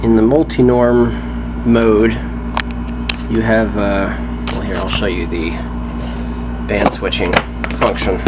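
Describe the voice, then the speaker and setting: A man casually demonstrating a CB radio, explaining slowly with pauses and restarts. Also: on the low side, flat delivery. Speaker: radio reviewer. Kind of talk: casual product demo. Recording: loud background noise.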